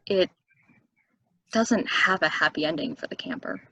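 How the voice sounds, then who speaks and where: A woman's voice: on the low side, a little slow, sharp tone, slightly rough, dry recording, muffled recording, heavily acted. An actress playing a level-headed, skeptical woman with a dry, conversational delivery, in a table reading of a stage play. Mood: alarmed, defensive.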